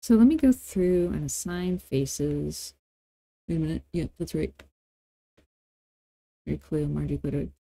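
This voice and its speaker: Female tutorial host, informal and playful, soft-edged mellow tone, dry close-miked sound. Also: low-pitched, measured pace, gravelly, long pauses, no room sound. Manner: informal, playful, thinking aloud. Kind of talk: casual screen-recorded software tutorial.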